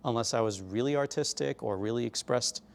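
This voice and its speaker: A middle-aged man from Glendale, California, reflecting on his life in an oral history interview, speaking in a measured way with pauses and fillers. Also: medium pitch, vocal fry.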